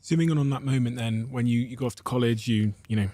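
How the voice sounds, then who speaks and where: A man's voice: medium pitch, fast, mellow, no room sound. A man hosting a podcast, asking probing questions and summing up his guest's story. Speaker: podcast host. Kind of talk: interview questions.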